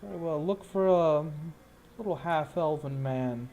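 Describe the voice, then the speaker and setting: A man playing an unhurried town official in a tabletop game, speaking in a hesitant, deliberate way. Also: fairly high pitch, mellow, soft background music. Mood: helpful, measured. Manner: slow, deliberate, pondering.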